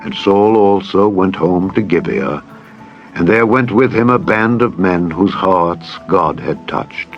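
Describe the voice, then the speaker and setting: Man, low voice, solemn declamatory scripture narration, slightly muffled audio, music underneath. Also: slow. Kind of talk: dramatized scripture reading, formal scripted narration. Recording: dull band-limited audio with music. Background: background music.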